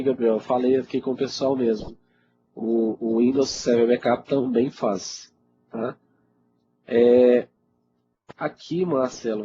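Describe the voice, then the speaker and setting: Casting: Brazilian male IT instructor, congested, casual Q&A delivery, medium pitch, muffled noisy webinar audio. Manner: informal, conversational, frequently checks in with listeners. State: congested with a cold. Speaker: IT instructor answering webinar questions. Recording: dull lo-fi webinar audio, loud noise.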